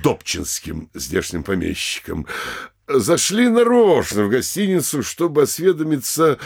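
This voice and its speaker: An actor playing a sly, fawning middle-aged provincial mayor in a comic audio play, gushing obsequiously and muttering conspiratorial asides. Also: male voice, medium pitch, wildly swooping pitch, a little slow, vocal fry, husky, full character voice. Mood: ingratiating. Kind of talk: theatrical comedy audio play acting.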